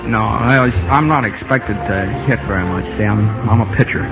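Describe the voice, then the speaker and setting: Actor as a bashful, halting young country ballplayer. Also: male voice, fairly low pitch, close to an everyday voice. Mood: halting, earnest. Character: shy, naive young country ballplayer, polite and earnest. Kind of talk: 1950s radio drama acting. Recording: muffled 1950 radio broadcast with music.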